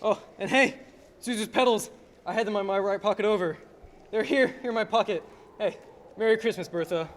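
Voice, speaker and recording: A young man playing an excitable, desperate family man in a radio play, recorded in an echoey hall. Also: sits high, brisk, close to an everyday voice. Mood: jubilant. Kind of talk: filmed radio play acting, high school production. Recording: echoey hall, faint noise.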